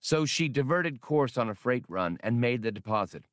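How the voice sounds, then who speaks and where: A man's voice: mid-range voice, animated, normal speed, no room sound, voice pushed up, natural voice. A curt woman adventurer in a space audiobook , voiced by a man in close to his own voice. The delivery is businesslike and no-nonsense. The sound is very dry. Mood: matter-of-fact.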